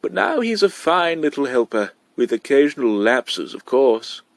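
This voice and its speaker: A man's voice: mid-range voice, very animated, moderate pace, no room sound, voice pushed up. A man voicing a prim, tactful woman kindergarten teacher in a storybook reading, speaking politely.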